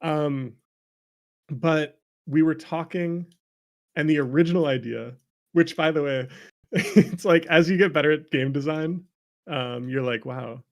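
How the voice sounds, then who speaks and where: A man's voice: fairly high pitch, unhurried. A man talking casually as an interview guest about his work as a game developer, rambling and joking at his own expense. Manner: rambling, self-deprecating, jokey. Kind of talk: casual chat.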